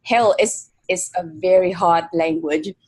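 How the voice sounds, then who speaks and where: Slow-talking woman interviewer. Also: lowish voice, a little mellow, breathy edge, poor audio. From Vietnam. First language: Vietnamese. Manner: hesitant, many fillers. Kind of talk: conversational interview.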